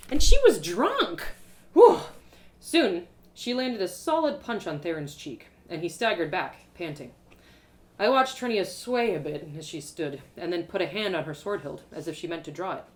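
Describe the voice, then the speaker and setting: A woman reading a first-person adventure story aloud in a dramatic, expressive way. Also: mid-range voice. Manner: dramatic, animated, with exclamations and sighs. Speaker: author reading her own story aloud.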